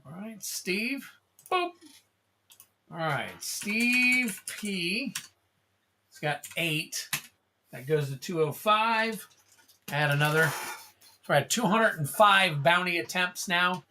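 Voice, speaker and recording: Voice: mid-range voice, expressive, slow, long pauses, pushed and bright, slight background noise. A livestream host opening card packs, chatting casually, calling out card names and thanking viewers in a rambling way.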